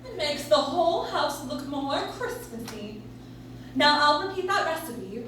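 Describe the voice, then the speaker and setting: A woman's voice: sits high, lively intonation, a little slow, a bit bright, slight background noise. A woman delivering a scripted 1940s-style radio commercial live on stage, recorded from a distance in a very echoey room. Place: live stage in a coffee shop.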